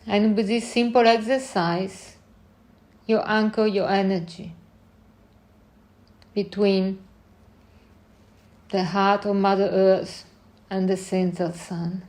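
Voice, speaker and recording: A woman leading a guided meditation in gentle, unhurried short phrases with long pauses between them. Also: mid-range voice, fairly even intonation.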